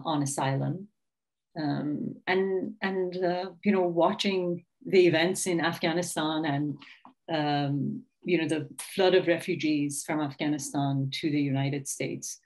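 A woman's voice: sits low, darkish tone, rough recording. A middle-aged woman from Iran, an author talking as a guest over a video call, thoughtful, with many fillers and restarts. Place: home room with bookshelf.

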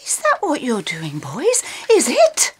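A woman's voice: sits high, huge pitch swings, slow pace, husky, heavily acted. A middle-aged woman playing an exasperated, foul-mouthed soap actress in a screen comedy, theatrical, haughty and sarcastic. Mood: exasperated, ranting. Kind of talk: screen comedy acting as radio drama actress. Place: small radio recording studio.

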